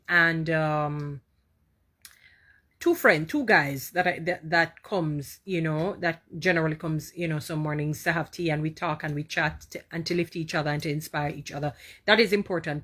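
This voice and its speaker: Jamaican woman, lowish voice, casual host of a live stream, warm and rambling. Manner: warm, chatty, repeats herself, reads and answers viewer comments. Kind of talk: casual inspirational live talk.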